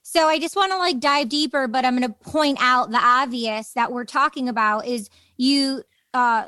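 A woman's voice: high-pitched, bright, forceful tone, no room sound. A woman interviewing in a casual, reflective podcast style, effusively affirming and reflecting back what she hears.

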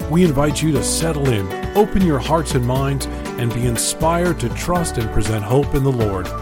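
A male announcer delivers a scripted, uplifting podcast introduction. His pitch moves in lively swings, and music plays prominently behind him. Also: normal speed. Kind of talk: scripted inspirational intro narration. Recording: produced intro over music.

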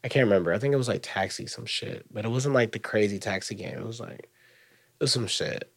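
A man in his twenties hosting a podcast, chatting casually in a slangy, rambling way that trails off quietly. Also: on the low side, fast, slightly rough. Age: 20s. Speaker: podcast host. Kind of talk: casual chat.

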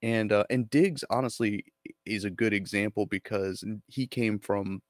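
A man's voice: lowish voice, steady tone, normal speed, no echo, studio quality. A man co-hosting a casual hobby show, easygoing and given to stammered restarts. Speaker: co-host.

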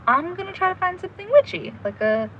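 A woman playing a brisk, wry young woman who takes charge of an amateur sleuth team in an audio drama. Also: very high voice, very animated, muffled recording, a little hiss or hum. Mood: brisk, focused. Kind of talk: audio drama acting, naturalistic.

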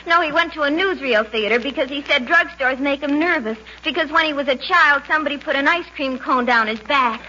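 Man-crazy, chatty young working girl, rambling and naive, bright high pitch, 1940s radio comedy recording. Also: a little fast, hardly any pauses. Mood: indignant, rambling. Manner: breezy, rambling. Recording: muffled, faintly noisy 1940s radio recording.

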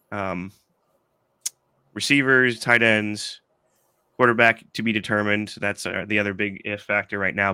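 Stuffy-nosed man, fairly low voice, casual sports pod host, rambling chat. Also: sharp tone, smooth, no echo, studio quality. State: congested from allergies. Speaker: podcast host.

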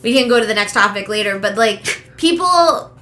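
Bright, giggly young woman co-hosting. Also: medium pitch, expressive, moderate pace, a little hiss or hum. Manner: giggly, rambling, lots of laughter. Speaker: podcast co-host. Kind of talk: casual chat. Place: home.

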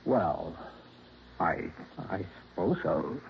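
An actor playing an earnest, troubled insurance claims man in a 1960s radio drama, hesitant and quick to protest. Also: sits low, big pitch swings, vocal fry, slight background noise, full character voice. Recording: dull lo-fi vintage radio broadcast.

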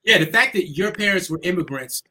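A man with a high voice talks in an animated, emphatic way, often swearing, as he co-hosts a casual discussion. The recording has a steady noise bed with keyboard clicks behind him. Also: fairly quick. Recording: noisy background with keyboard clicks.

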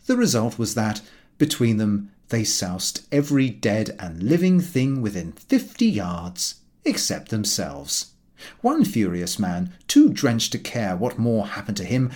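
Male narrator for comic fiction audiobook; husky edge, very lively intonation. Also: mid-range voice. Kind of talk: scripted narration of a comic novel.

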